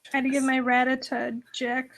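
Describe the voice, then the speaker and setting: A woman artist chats casually while drawing, tossing out dry, offhand jokes. Her voice sits high. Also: monotone, normal speed. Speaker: guest artist.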